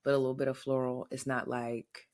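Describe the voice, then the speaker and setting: A woman in her thirties with a notably low voice, chatting on a podcast from her own home. She tells stories in an animated, talkative way and slips into little voiced quotes. The talk is loose and conversational. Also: brisk. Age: 30s. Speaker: podcast co-host. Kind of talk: casual chat. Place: her home, in front of a flower wall.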